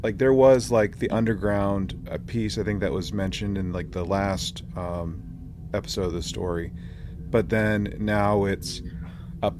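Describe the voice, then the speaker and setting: Halting, low-voiced man podcasting. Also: steady tone, noisy background. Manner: halting, tentative explanations. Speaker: podcast co-host. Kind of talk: casual podcast discussion.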